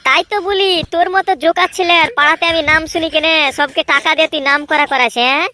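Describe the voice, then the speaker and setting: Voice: high voice, fairly even intonation, fast talker, bright, forceful tone, no room sound. A performer voicing a cheeky, greedy young male sidekick who needles and argues in a comedy cartoon. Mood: mocking. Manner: needling, argumentative.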